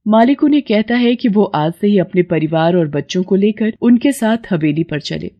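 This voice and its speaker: A woman's voice: mid-range voice, animated, normal speed, highs cut off. A woman narrating a scripted moral tale as storyteller.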